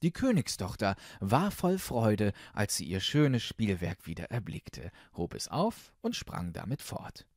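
A man's voice: lowish voice, very animated, measured pace, hardly any pauses, darkish tone, no echo, studio quality. A man narrating a fairy tale as an audiobook, reading expressively from a script.

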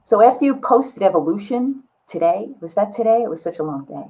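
A woman in her sixties chatting casually and expressively on a video call, with a slightly husky voice. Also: mid-range voice, a little fast, low-quality recording. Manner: expressive, exclaiming. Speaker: guest artist. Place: small indoor studio room with bookshelves.

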